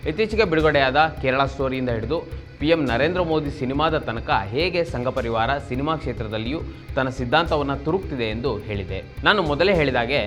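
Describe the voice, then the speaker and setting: Guy from karnataka narrating a news explainer, formal, mid-pitched voice, faint music behind. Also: a bit bright. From Karnataka, India. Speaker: presenter of a political explainer.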